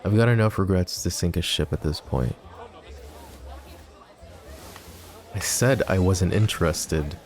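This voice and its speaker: A male voice actor playing a lovesick, brooding young mafia boss in an ASMR roleplay. He speaks softly and intimately, sometimes dropping to a whisper, with wry, self-mocking humor. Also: low-pitched, normal speed, natural voice. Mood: wry, rueful. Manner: soft, intimate, drops to whispers.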